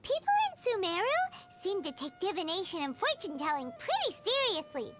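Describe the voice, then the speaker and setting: A woman voicing a small, childlike flying companion in a video game, squeaky, bubbly and excitable. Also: a little fast, over music. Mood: curious, amazed. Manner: bubbly, exclamatory, speaks of herself in third person. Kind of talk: scripted video game dialogue.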